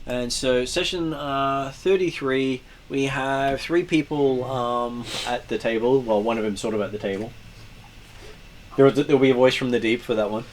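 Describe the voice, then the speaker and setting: A man running a tabletop game as game master, relaxed and joking while explaining rules. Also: medium pitch, moderate pace, slight background noise, rough recording.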